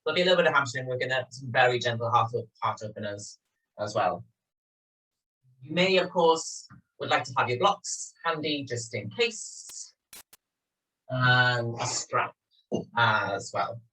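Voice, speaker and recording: A man's voice: medium pitch, lively intonation, measured pace, pauses often, far from the mic, rough recording. A man with a Welsh Swansea accent leads a yoga class in a friendly, rambling way. His middling voice comes from a little way off the mic. The sound is thin, as from a video call. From Wales. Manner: chatty and gentle, with soft "um"s. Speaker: yoga teacher. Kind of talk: spoken yoga class introduction. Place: small home studio. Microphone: video call recording.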